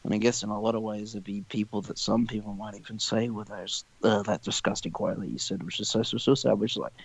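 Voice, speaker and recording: A man's voice: on the low side, steady tone, quick pace, vocal fry, husky, low-quality recording. A young man hosting a podcast, chatting casually in a rambling way and swearing freely. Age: young adult. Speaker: podcast host. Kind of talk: casual chat.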